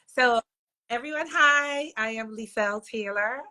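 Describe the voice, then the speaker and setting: A woman's voice: a little high, a little slow, sharp tone. A woman in her thirties from Trinidad with a Trinidadian accent, chatting casually and laughing easily. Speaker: co-host. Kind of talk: casual chat.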